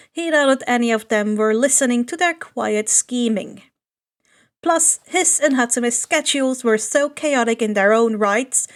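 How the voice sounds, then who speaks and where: A woman reading a story aloud as an audiobook narrator. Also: sits high. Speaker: podfic narrator.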